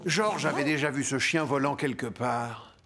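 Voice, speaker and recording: A man narrating a children's cartoon in a lively, playful storybook manner. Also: mid-range voice, normal speed, very noisy. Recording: music underneath.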